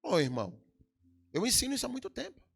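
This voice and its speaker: A man's voice: extremely high pitch, wildly swooping pitch, quick pace, vocal fry, fairly bright tone, slightly rough. A middle-aged Brazilian man with a Brazilian Portuguese accent, preaching a sermon in a church with emphatic swells and sudden drops. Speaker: pastor. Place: church hall.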